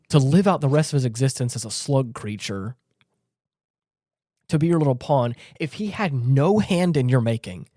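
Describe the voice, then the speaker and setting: A man's voice: medium pitch, lively intonation, a little slow, long pauses, warm tone, no room sound, studio quality. A man co-hosting a casual podcast chat, talking in a rambling, emphatic way and often repeating himself. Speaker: podcast co-host.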